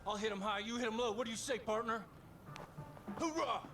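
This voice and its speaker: A man's voice: high-pitched, flat delivery, rapid-fire, fairly bright tone, soft background music. An actor playing a hot-tempered, foul-mouthed male bush pilot who breaks into swearing, emotional outbursts. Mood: excited. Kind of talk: video game cutscene acting.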